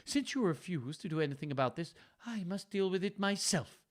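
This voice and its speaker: A man's voice: high voice, expressive, a little fast, pitched above the performer's own voice. Man doing a cold scornful daughter. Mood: scolding.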